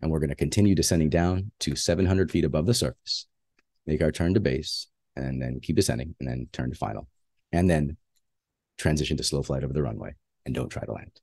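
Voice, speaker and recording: A man's voice: rumbling low, brisk, gravelly, a little mellow, breathy edge, no room sound, studio quality. A man who is a flight instructor, giving a plainspoken slide lecture on flying with frequent filler uhs.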